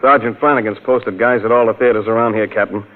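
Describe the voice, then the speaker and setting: An actor playing a tough, plain-spoken police officer in a 1940s-style radio crime drama. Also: male voice, fairly low pitch, fairly even intonation, quick pace, natural voice. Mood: businesslike. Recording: muffled old-time radio recording.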